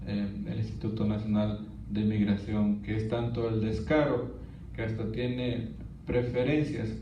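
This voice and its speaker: A man giving an earnest church testimony, often halting and restarting as he speaks. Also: fairly low pitch, little pitch movement, dark tone, a little hiss or hum. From Honduras. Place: church hall. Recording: distant, roomy, dull church recording.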